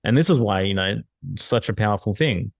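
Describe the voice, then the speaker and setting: Low-voiced motivational podcast co-host man. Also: animated, fairly quick, dry recording, rough recording. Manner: enthusiastic, motivational, swears casually. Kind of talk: casual podcast chat.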